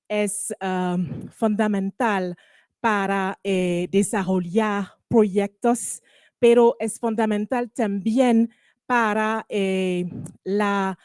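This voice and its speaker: A woman whose first language is French, moderating a panel in a second language, halting and searching for words with many fillers. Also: medium pitch, warm tone, no echo, clean studio sound. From Caribbean. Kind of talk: hesitant formal panel moderation.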